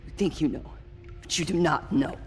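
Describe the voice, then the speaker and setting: A woman's voice: sits low, normal speed, darkish tone, soft background music, lightly acted. An actress voicing a stern, guarded mercenary woman in a video game, speaking in a curt, formal, clipped way.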